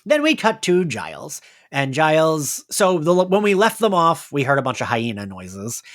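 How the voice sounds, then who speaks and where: A man hosting a casual recap chat, telling the story in an animated, rambling way. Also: on the high side, normal speed. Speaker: podcast host.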